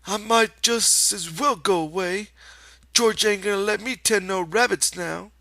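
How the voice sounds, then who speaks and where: A man voicing a simple-minded giant farmhand who pleads in a high, strained wail with a rural drawl. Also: unhurried, gravelly, sharp tone, no echo, exaggerated voice. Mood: grieving.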